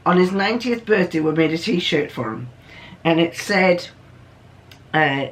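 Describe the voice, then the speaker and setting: An older host with a deep voice, chatting casually in a rambling, digressive and matter-of-fact way. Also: expressive, measured pace, fairly bright tone, slight background noise. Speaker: video host.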